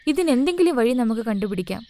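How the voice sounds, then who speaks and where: A woman's voice: fairly high pitch, animated, quick pace, mellow, lightly acted. A woman voicing a devoted, hopeful young daughter-in-law in a cartoon moral story. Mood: hopeful, reassuring.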